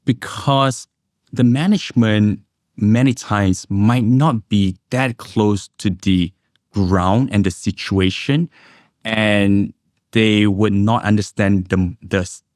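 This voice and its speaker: A middle-aged man from Indonesia and Singapore, an investment analyst, giving measured, reflective answers as an interview guest. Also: on the low side, darkish tone, dry recording, clean studio sound.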